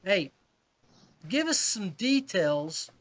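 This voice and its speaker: A man's voice: sits high, big pitch swings, drawn-out words, fairly bright tone. An American man hosting a casual fishing podcast, chatting with guests in a friendly, chummy and appreciative way. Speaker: podcast host.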